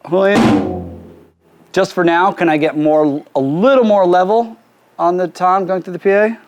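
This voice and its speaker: A man's voice: high voice, expressive, a little slow, dull audio. A man working as a music producer gives a casual hands-on tutorial, handing out informal instructions to his crew in a drum studio. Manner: informal, directive. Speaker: music producer giving a mixing demonstration. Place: recording studio with drum kit and PA.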